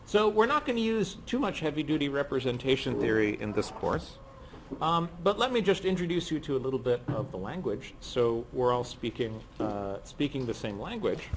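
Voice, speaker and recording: Soft-spoken male lecturer with a cold. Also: mid-range voice, sing-song, normal speed, noisy background. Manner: speaks softly to save his voice, with frequent ums. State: has a cold, losing his voice. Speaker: physics lecturer. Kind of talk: university lecture. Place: lecture room.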